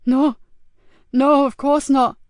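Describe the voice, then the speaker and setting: A woman's voice: high-pitched, level tone, takes it slowly, a little mellow, dry recording, studio quality, pitched above the performer's own voice. A woman voicing a fierce female police detective who speaks urgently and commandingly. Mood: pleading.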